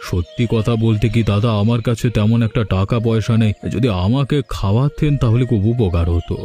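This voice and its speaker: A man's voice: fairly low pitch, fairly quick, warm tone, lightly acted. A man playing a polite, penniless and hungry traveller in a cartoon, pleading in manner. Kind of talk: cartoon dialogue. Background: background music.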